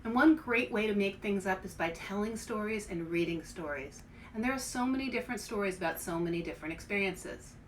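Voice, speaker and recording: A woman hosting a children's read-aloud, expressive and speaking directly to young listeners. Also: medium pitch, brisk. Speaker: author and show host. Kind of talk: children's picture book read-aloud with casual asides. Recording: faint background noise.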